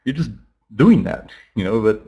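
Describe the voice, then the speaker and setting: A man's voice: lowish voice, sing-song, moderate pace, vocal fry, mellow, slightly rough. Rambling middle-aged man thinking aloud. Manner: rambling, thinking aloud with many restarts and fillers. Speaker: interview guest, teacher. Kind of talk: conversational interview answer.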